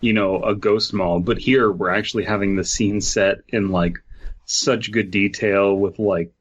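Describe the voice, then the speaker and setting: A man's voice: fairly low pitch, steady tone, measured pace. A man co-hosting a podcast, reading a story aloud and commenting on it casually. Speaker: podcast co-host. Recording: slightly dull, lo-fi mic.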